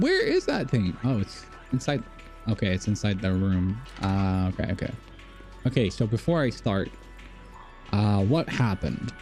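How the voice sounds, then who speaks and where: A man's voice: fairly low pitch, mellow. A man live streaming a video game, chatting casually with viewers and thinking aloud in a rambling, repetitive way.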